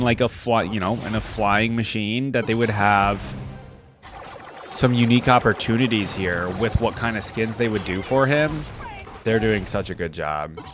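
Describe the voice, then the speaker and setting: A man giving casual, enthusiastic reaction commentary as a gaming video host, full of exclamations and slangy phrasing. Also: mid-range voice.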